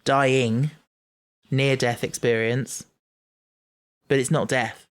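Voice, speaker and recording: A man's voice: mid-range voice, little pitch movement, takes it slowly. A man co-hosting a casual podcast chat, thinking aloud with many restarts and stumbles. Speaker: podcast co-host.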